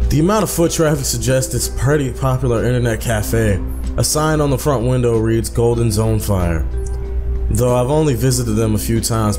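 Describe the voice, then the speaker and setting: A young man reading a visual novel aloud as a gaming host, with casual asides. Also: medium pitch. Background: faint music.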